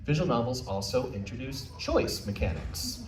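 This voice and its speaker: A man's voice: mid-range voice, big pitch swings, normal speed. An American man giving an informal presentation to a live audience, with a casual, self-deprecating manner and jokey asides. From United States. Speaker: convention panel presenter. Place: indoor convention panel room. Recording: roomy, distant mic, faint noise.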